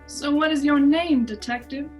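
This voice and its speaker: A high-pitched, sultry femme fatale, played by a woman in a noir radio spoof. She sounds scheming, with music running underneath. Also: moderate pace, darkish tone, lightly acted. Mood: coy, persuasive. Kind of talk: radio drama comedy acting. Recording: music bed over video-call audio.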